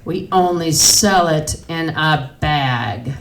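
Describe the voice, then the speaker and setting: A woman's voice: low-pitched, slow pace. A woman voicing a self-righteous teenage boy working a shop counter, stiff and judgmental, in a comic story. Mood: prim, judgmental. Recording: roomy, distant mic, faint noise.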